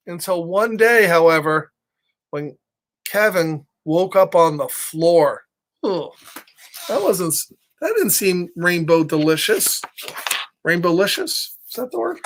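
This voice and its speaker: Middle-aged man, children's storybook host, animated and playful, chatty asides, asks the listeners questions. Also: high voice. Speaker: storybook read-aloud host. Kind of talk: live children's story time, chatting with viewers between lines of the book.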